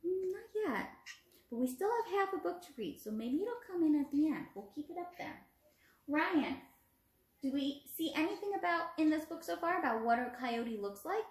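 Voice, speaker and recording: Young woman, encouraging teacher, expressive sing-song range, talking to small children, echoey room. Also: high voice, normal speed. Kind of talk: read-aloud and questioning for young children. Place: small room at home, indoors. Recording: roomy home recording.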